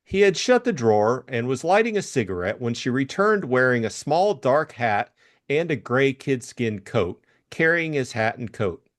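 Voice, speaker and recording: Male audiobook narrator and co-host, mid-pitched, highly animated intonation, for classic crime fiction and book talk. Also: moderate pace. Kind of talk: scripted story narration and book discussion.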